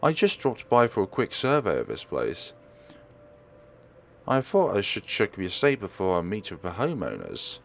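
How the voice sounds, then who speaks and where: A man voicing a sophisticated, composed female interior designer in a visual novel, brisk and politely reserved. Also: on the low side, huge pitch swings, vocal fry, slight background noise, heavily acted.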